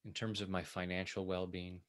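A man with a low-pitched voice leads guided affirmations as a coach. He speaks deliberately, setting out one short phrase at a time so that each can be repeated. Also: level tone, fairly bright tone. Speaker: tapping coach leading affirmations.

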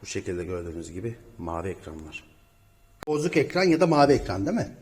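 A man's voice: deep voice, big pitch swings, fast talker, darkish tone. A man working as a repair technician, explaining a job informally to viewers on site in an apartment building hallway. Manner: explanatory, addressing viewers directly. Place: indoors, apartment building hallway by cable shafts. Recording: reverberant stairwell, clear background noise.

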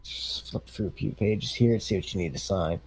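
A man's voice: low-pitched, moderate pace, a little mellow, slightly rough. A man playing an easygoing farm equipment dealership salesman in casual, rambling improvised game role-play. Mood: casual, businesslike.

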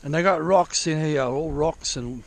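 A man's voice: on the high side, measured pace, gravelly. A man rambles through a walkthrough of old diggings, correcting himself and swearing casually as he goes, recorded outdoors on a head-mounted camera. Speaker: prospecting vlogger. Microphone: head-mounted action camera.

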